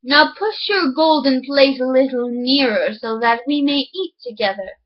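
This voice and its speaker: A woman's voice: high-pitched, unhurried, muffled recording. A woman voicing an insistent storybook frog with demanding, formal speech.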